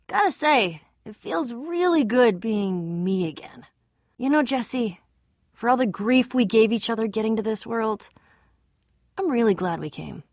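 A woman playing a feisty, sardonic young warrior in a video game, with a wry, expressive delivery. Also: a little high, slow pace, long pauses, no room sound, clean studio sound, exaggerated voice. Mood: wry, warm. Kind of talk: animated game voice acting.

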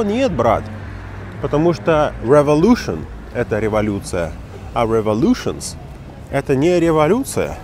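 A man's voice: on the high side, a little hiss or hum. A man in his forties, an interpreter and English teacher, talking casually while walking outdoors at night. He rants in an emphatic, mocking way, recorded on a phone held at arm's length. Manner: animated, emphatic, mocking rant. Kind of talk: casual walking vlog commentary. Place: city street at night, outdoors. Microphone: phone at arm's length with wireless earbuds.

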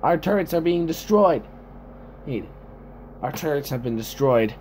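Slow, halting man with lively pitch. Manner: halting, repeats and reworks lines. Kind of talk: improvised amateur character voice lines. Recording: faintly noisy home recording.

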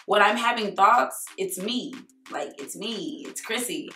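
Raspy, sassy young woman vlogging. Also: medium pitch, pushed and bright, reverberant. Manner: chatty, sassy, rambling. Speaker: YouTuber. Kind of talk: casual chat to camera. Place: living room with a Christmas tree.

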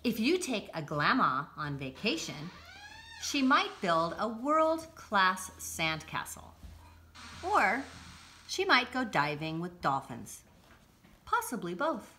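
A middle-aged woman reading a children's picture book aloud, deliberately and with expressive emphasis, recorded indoors a short distance from the camera. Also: mid-range voice, slight background noise. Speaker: school read-aloud presenter. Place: indoors, home-like room with armchairs.

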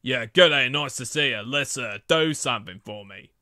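A man's voice: medium pitch, moderate pace, bright, forceful tone, dry recording, clean studio sound. A man playing a shady cartoon smuggler boat, sly and wheedling with a threatening manner. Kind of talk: cartoon villain voice acting.

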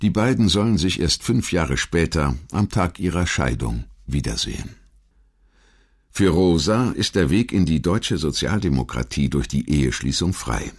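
A man reading scripted biographical narration as a documentary narrator, in a measured, articulate manner. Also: a bass voice, animated, breathy edge.

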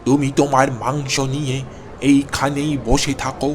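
Man as indignant market customer, cartoon, mid pitch, scornful, incredulous, slight background noise. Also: level tone, moderate pace, natural voice. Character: indignant, sharp-tongued male market customer. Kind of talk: Bengali cartoon voice acting.

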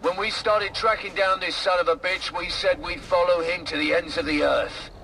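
An actor playing a solemn, heroic sci-fi squad leader in a video game, giving a rousing, declamatory address. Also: male voice, high voice, fairly even intonation, moderate pace, bright, forceful tone. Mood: rousing, resolute. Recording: distant mic, faint noise.

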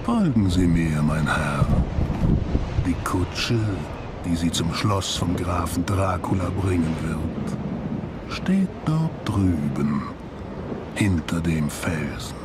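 Ominous courteous coachman, very slow, deep, formal, horror audio drama. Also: lively intonation. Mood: ominous courtesy. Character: sinister, courteous male coachman, appearing from nowhere at night. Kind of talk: German audio drama acting. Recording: loud music under the voice.

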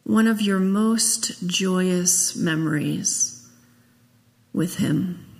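A woman minister leading a guided meditation, speaking gently and in a measured way with long pauses. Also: lowish voice. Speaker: minister leading a memorial service. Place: large church sanctuary, at a pulpit. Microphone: gooseneck pulpit microphone. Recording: long echo of a church hall.

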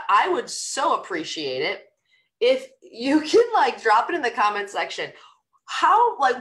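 A middle-aged woman hosting a live show, chatting casually and rambling in an upbeat way, her voice raspy from a cold. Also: mid-range voice, a bit bright. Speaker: podcast host. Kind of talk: casual live-stream chat.

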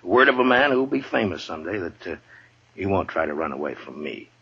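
Casting: authoritative frontier marshal, low gravelly-husky voice, world-weary, old radio western. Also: male voice, very animated, a little fast, full character voice. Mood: amused, gentle. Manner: authoritative, blunt. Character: tough, world-weary Old West lawman, firm but fair. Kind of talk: 1950s radio western acting. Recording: dull vintage radio recording.

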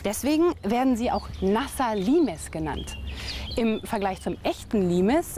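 A woman's voice: medium pitch, mellow. A woman reading scripted documentary narration. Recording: music under the voice. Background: background music.

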